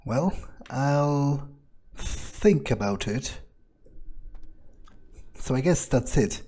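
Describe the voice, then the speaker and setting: Husky, sardonic man roleplaying a game. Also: medium pitch, a little mellow. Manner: sardonic, foul-mouthed. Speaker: gamer playing in character. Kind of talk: in-character game roleplay, thinking aloud.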